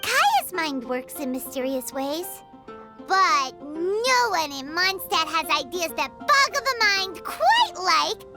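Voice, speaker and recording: Tiny cheeky fairy sidekick for a game: squeaky, childlike, hugely animated, drawn-out dramatic delivery. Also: female voice, exaggerated voice. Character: tiny excitable childlike floating fairy companion, speaks in third person. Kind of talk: video game voice acting. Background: loud music.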